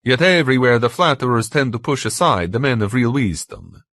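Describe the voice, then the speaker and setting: A man's voice: mid-range voice, expressive, a little fast, fairly bright tone, no room sound, studio quality, voice pushed up. A man voicing a stern, earnest man who lectures his companions in a formal, emphatic way for a story. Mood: earnest lecturing.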